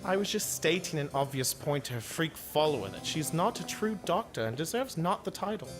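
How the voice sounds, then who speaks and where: An actor playing a snide, haughty medical student with a pompous manner in a Gothic audio drama. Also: male voice, on the high side, lively intonation, a little fast, over music, natural voice. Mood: snide contempt.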